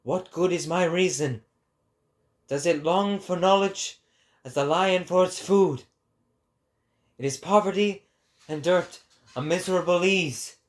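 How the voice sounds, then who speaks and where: Man acting as a slow, grandiose male prophet. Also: sits high, pitched above the performer's own voice. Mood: scornful, impassioned. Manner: grandiose, declamatory, deliberate. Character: impassioned male prophet preaching to a crowd. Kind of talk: dramatic philosophical reading.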